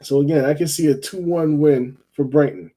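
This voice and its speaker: A man's voice: on the high side, steady tone, normal speed, warm tone. A man from Jamaica hosting a fan call-in football show, talking in a chatty, rambling way and often repeating himself. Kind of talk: casual football chat.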